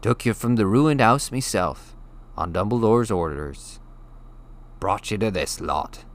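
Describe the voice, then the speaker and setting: A man voicing a big, gruff but kindly giant in an audiobook, with folksy, ungrammatical and emphatic speech. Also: on the low side, animated, unhurried. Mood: solemn. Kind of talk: audiobook character voice.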